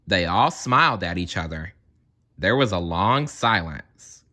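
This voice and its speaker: Very bright-voiced man reading a storybook. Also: low voice, a little slow. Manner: expressive, theatrical. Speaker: storybook reader. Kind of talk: scripted children's read-aloud narration.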